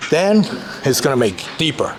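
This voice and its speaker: A man from Japan with a Japanese accent talks informally as a trainer, haltingly repeating phrases as he explains things. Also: on the high side, sing-song. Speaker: fitness trainer and gym co-owner. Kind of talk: informal exercise demonstration and chat. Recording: busy crowd noise behind.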